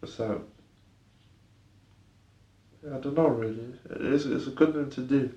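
A young man who was born in Ireland and raised in the UK, a podcast guest talking in a soft, hesitant way with lots of fillers. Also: mid-range voice, measured pace, reverberant, highs cut off. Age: young adult. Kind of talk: casual conversation.

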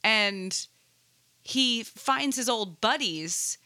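Bright-voiced woman chatting with dry humour. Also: fairly high pitch, expressive, extremely slow. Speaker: participant in a group conversation. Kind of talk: casual chat.

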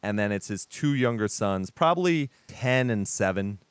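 A man's voice: lowish voice, lively intonation, moderate pace, clear, resonant tone, dry recording. A man from Texas co-hosting a podcast, chatting casually about a film with laid-back, profane asides. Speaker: podcast co-host.

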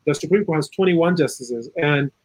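A man from South America giving earnest, opinionated political commentary in a conversational way, with a husky voice and plenty of "you know". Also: on the high side, moderate pace, a little mellow, poor audio. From South America, Chile. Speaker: interview guest, political and legal commentator.

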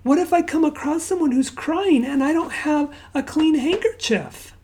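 A woman raised in Zambia giving a sermon. She opens with a quiet prayer and then tells stories conversationally, often dropping to a hushed near-whisper. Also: high voice, moderate pace. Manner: conversational storytelling with fillers and asides. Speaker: pastor.

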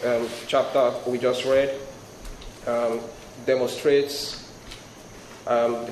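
A man in his thirties from Nigeria with a Nigerian accent, giving a sermon as a guest speaker, reading scripture and praying, often pausing with ums and restarting his sentences. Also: mid-range voice, a little mellow. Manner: hesitant, frequent ums and restarts. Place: large hall before a youth crowd. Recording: echoey room with noise.

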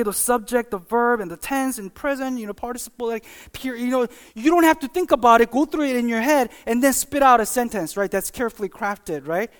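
A preacher giving a conversational sermon with personal stories, talking in a halting, informal way and often checking in with listeners. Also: medium pitch. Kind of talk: conversational sermon with personal anecdotes and acted-out examples.

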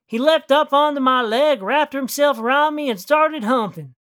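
A man's voice: very high voice, normal speed, fairly bright tone, voice pushed up. A shy young small-town cowboy rambles through his tale in a thick Texan drawl, as a man puts the voice on. His talk is folksy and full of country slang and loose grammar, told in first person like a spoken storybook. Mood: rambling. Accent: put-on Texan cowboy drawl.

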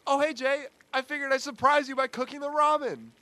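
Sheepish young male housemate acted by a student, extremely high, bright voice, naive, cheerful, comic audio drama. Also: fairly even intonation, a little fast, dry recording. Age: young adult. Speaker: student voice actor. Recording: faint background noise, home recording.

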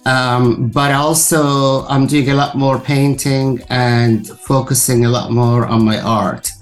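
Slow-spoken man in his sixties. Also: medium pitch, fairly even intonation, faint music behind. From Iran. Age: 60s. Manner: slow and thoughtful, with fillers. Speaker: guest artist. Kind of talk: casual interview talk.

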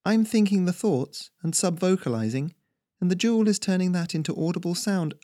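A man's voice: high voice, animated, fairly quick, no echo, studio quality, pitched above the performer's own voice. A man voicing a big talking weasel, a matter-of-fact and testy tenor, in an audio story. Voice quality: mellow tenor.

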